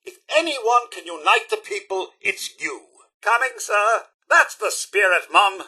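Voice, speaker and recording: Loyal robot butler, video game. British, formal and deferential, high-pitched, swooping theatrical delivery. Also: male voice, lively intonation, measured pace, sharp tone, husky, exaggerated voice. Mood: approving, earnest. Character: fussy, polite, loyal male robot butler. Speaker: voice actor. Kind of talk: video game companion dialogue.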